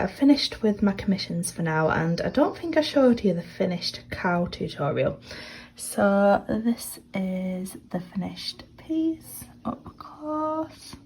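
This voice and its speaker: A woman's voice: medium pitch, lively intonation, takes it slowly, darkish tone, slight background noise, poor audio. Stuffed-up woman chatting. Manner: chatty and rambling, full of "like" fillers. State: stuffed up and nasal from a cold. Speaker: artist vlogger. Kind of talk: casual studio tour vlog. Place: small home art studio.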